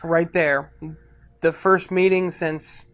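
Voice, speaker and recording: A man's voice: fairly high pitch, steady tone, takes it slowly, a bit bright. A man chatting casually and in slang as co-host of a film commentary. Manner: informal, slangy. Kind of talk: casual film reaction chat.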